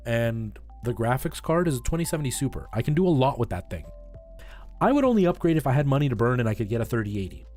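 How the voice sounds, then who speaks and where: A man's voice: medium pitch, big pitch swings, fast talker, a little mellow. A man streaming, chatting casually with his viewers and explaining things in a conversational way, often checking in with them. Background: music.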